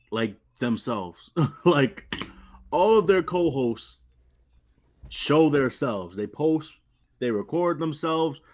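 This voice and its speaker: A man co-hosting a live sports talk stream, teasing and laughing as he chats. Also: medium pitch. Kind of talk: casual live-stream chat.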